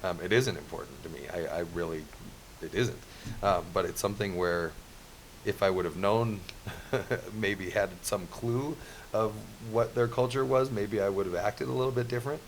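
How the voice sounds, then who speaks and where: A man telling a casual story on a podcast, halting and restarting as he goes and leaning on "you know." His voice is very low. A faint hiss sits behind him. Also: low-quality recording. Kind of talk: casual anecdote.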